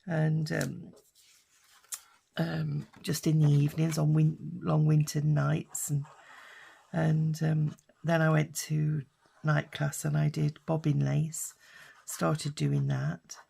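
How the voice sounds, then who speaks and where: Husky older British woman chatting. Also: sits low, takes it slowly, warm tone. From United Kingdom. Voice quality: husky, raspy. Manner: rambling and chatty, with many fillers. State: hoarse from a lingering cough. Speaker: craft vlogger. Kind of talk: casual chat while crafting.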